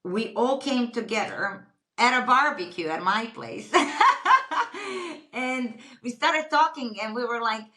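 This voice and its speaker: A woman's voice: a little high, a little slow, bright, forceful tone. A middle-aged woman from Croatia, whose first language is Croatian, speaking earnestly as a podcast guest and often restarting as she searches for words. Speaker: guest, retreat company founder. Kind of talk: casual interview conversation.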